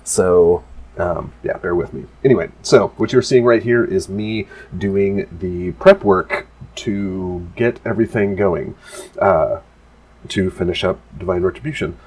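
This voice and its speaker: A man's voice: deep voice, unhurried, a little mellow, slightly rough. A man with a stuffy, nasal voice from allergies, casually narrating his prop-building work with lots of restarts. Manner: rambling, full of restarts and fillers.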